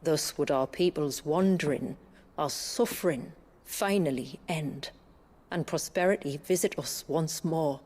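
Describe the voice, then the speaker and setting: An actress playing a grave village guide who keeps her people's history, speaking in a measured, solemn storytelling way in a fantasy game. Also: low voice, darkish tone. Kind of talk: dramatic fantasy game dialogue. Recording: music under the voice.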